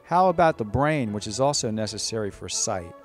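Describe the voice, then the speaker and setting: A man's voice: fairly low pitch, lively intonation, brisk. Solemn, emphatic man narrating over music. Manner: emphatic, declamatory. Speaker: narrator quoting scripture. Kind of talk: solemn scripted narration with scripture reading.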